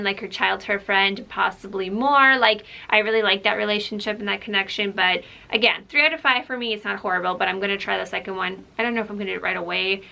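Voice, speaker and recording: A woman's voice: mid-range voice, gravelly, bright, forceful tone, low-quality recording. A woman from Ukraine whose first language is Russian, chatting casually to camera as a book vlogger, rambling with lots of "like" and "um".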